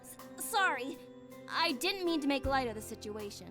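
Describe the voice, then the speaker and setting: A woman's voice: sits high, animated, moderate pace, a bit bright, soft background music. A woman playing a reserved, rational young female student in a visual novel, earnest and a little hesitant, awkwardly caring. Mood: flustered, apologetic.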